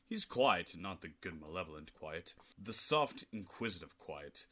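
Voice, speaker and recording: A man's voice: deep voice, lively intonation, a little slow, pushed and bright, full character voice. A young man in a student radio play voicing a cruel, scheming king who talks in a haughty, sneering way. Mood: plotting. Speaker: student actor. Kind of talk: amateur radio play acting.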